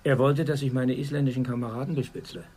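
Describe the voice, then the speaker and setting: An actor playing a reluctant older man, guarded and measured as he recalls a buried past, in a German radio drama. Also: medium pitch, steady tone, a little mellow, breathy edge, close to an everyday voice. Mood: wary.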